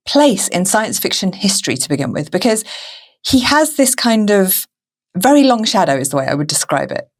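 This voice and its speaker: A woman's voice: medium pitch, sing-song, moderate pace. A woman hosting a podcast interview in a conversational style. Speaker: podcast host.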